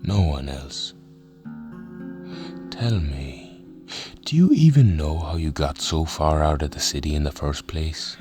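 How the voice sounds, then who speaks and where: A man acts out a village preacher for an audio drama, with an extremely low voice. He speaks slowly and deliberately, like a father calming his flock, yet with something quietly ominous underneath. Music plays clearly behind him. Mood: soothing, firm. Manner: slow, deliberate, paternal, calls the listener brother.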